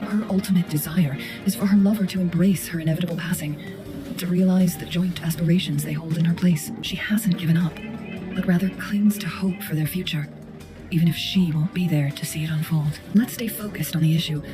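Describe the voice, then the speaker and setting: A woman playing a composed, perceptive strategist in a video game, speaking in a measured, analytical way. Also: lowish voice, music in the background, lightly acted. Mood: calm, pensive. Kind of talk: scripted video game dialogue.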